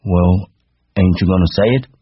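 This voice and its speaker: Simple childlike country man, whiny n pleading, pitched way up, muffled roomy sound. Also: fairly even intonation, moderate pace, slightly rough. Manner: whining, pleading, ungrammatical rural speech. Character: big simple-minded childlike man, anxious, pleading, loyal. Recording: muffled, roomy home recording.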